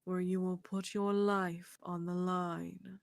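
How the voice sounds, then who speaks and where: A woman voicing a cold, menacing masked kidnapper in a visual novel, speaking deliberately. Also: lowish voice, flat delivery, gravelly, no echo. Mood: cold menace.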